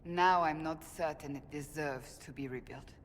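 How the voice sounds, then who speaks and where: A woman's voice: low voice, moderate pace, lightly acted. A woman playing a stern, earnest warrior of a holy order in a fantasy game, speaking in a formal, intense way. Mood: grave. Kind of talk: dramatic fantasy game acting. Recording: reverberant hall with faint ambient noise.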